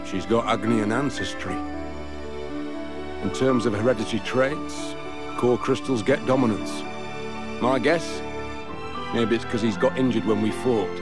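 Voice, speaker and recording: A man's voice: mid-range voice, measured pace, long pauses, over loud music, lightly acted. A man playing a blunt, wry veteran fighter in a video game cutscene, talking in a plain colloquial way. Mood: matter-of-fact. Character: gruff older veteran fighter, blunt and wry, explaining history.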